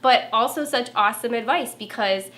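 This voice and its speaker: A young woman co-hosts a casual tips talk with a nasal voice and a hint of valley-girl lilt. Her tone is bright and a little high. She speaks easily and conversationally. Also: a little fast. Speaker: co-host, former TV reporter.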